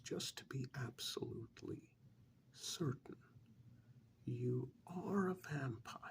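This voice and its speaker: Soft, whispery, slow-paced accountant played by a man for ASMR role-play: polite, earnest, easily flustered, fussy and apologetic. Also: mid-range voice, vocal fry. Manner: hesitant, fussy, apologetic, repeats himself. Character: polite, flustered, earnest tax accountant interviewing monster clients.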